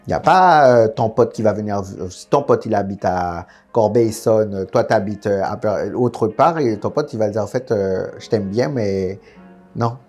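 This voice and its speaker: A young man from French Guiana talks frankly and easily, his husky voice sitting low and mellow. He tells his story in a loose, casual way, full of fillers. Faint music runs beneath him. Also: normal speed. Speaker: guest. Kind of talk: candid casual interview chat.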